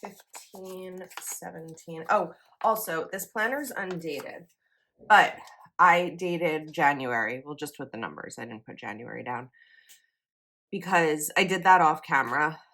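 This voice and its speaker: A woman's voice: low-pitched, measured pace, sharp tone, a little hiss or hum. A young woman vlogger chatting casually as she decorates a planner, her voice a little froggy this morning, rambling with likes and ums.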